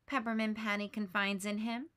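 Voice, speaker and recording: A woman teacher reading a children's picture book aloud, expressive and lifting her voice for the characters' lines. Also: normal speed. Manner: expressive, lifts pitch for quoted lines.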